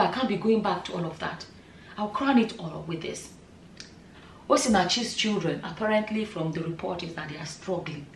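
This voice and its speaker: A woman in her thirties with a Nigerian accent, giving animated opinion commentary straight to camera, full of rhetorical questions. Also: on the low side, slight background noise. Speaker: vlogger. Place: bright room indoors, seated in chair. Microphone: far camera. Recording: roomy echo, mic at a distance.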